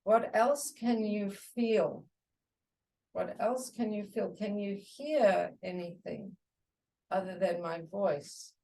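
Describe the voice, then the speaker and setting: A woman teaching a guided meditation, giving soothing, unhurried instructions in short phrases with pauses. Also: medium pitch, warm tone, distant mic, poor audio.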